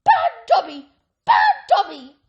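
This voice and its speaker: A woman voicing a timid, servile male house elf who squeaks and pleads, ending each sentence with "sir", in a storybook reading. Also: expressive, slow pace, lo-fi sound, full character voice. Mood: wailing.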